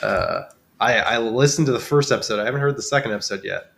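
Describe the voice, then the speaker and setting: A man's voice: on the low side, quick pace, a bit bright. A man with a nasal, congested voice from a cold, chatting casually and dryly as a podcast guest. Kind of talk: casual chat. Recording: clearly noisy background.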